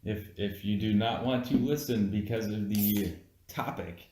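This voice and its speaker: Low-voiced tipsy man, roomy echo. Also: unhurried, a little mellow, a little hiss or hum. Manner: giggly, bantering, profane. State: tipsy from beer. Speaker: podcast co-host reviewing a film. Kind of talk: casual, joking chat. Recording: roomy, echoey home recording.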